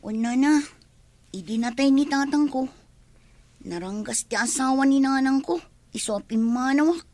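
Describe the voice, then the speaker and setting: A woman's voice: high-pitched, moderate pace, pauses often, a little mellow, no room sound, natural voice. An actress playing an earnest, helpful young village woman in a radio drama, respectful toward her elders. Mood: earnest, grateful.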